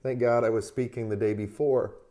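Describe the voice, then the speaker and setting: Hoarse man giving upbeat business advice. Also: medium pitch, steady tone, a little fast, mellow. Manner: upbeat motivational coaching, talks straight to listeners. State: hoarse, recovering from a lost voice. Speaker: podcast host. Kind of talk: solo advice podcast.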